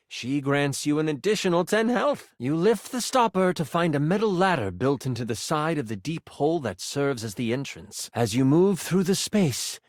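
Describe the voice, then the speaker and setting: An actor voicing a theatrical fantasy game master who narrates a video game adventure with dramatic suspense. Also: male voice, medium pitch, no echo, studio quality. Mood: suspenseful.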